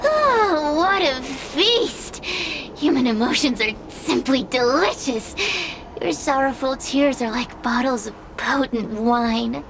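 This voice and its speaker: A woman's voice: high-pitched, expressive, slow, a bit bright, a little hiss or hum, exaggerated voice, poor audio. A woman voicing a sly female spirit in a video game, languid, teasing and condescending, savouring the emotions of others. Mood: gloating, teasing. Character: sly, gloating female fire spirit who feeds on emotions. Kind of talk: video game cutscene acting.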